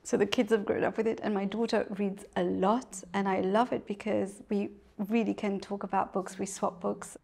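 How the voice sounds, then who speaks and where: A middle-aged woman from Mauritius talks easily about books and family as an interview guest. Her voice has a gravelly, creaky texture, and she laughs often and doubles back on her words. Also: mid-range voice, flat delivery, moderate pace, hardly any pauses. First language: English. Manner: warm, chatty, laughs often, many restarts. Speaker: author guest. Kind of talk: casual interview conversation.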